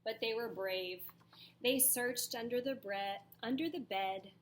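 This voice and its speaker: Woman teacher, slow pace, expressive storybook reading, dramatic emphasis, slightly high voice, some background noise. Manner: dramatic pauses, emphatic, questions to young listeners. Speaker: teacher reading aloud to children. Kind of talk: expressive storybook read-aloud with asides.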